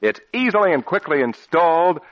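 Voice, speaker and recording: A man's voice: fairly high pitch, very animated, brisk. A man announcing a commercial in 1940s radio style, with a polished, persuasive sales delivery. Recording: muffled old radio broadcast recording.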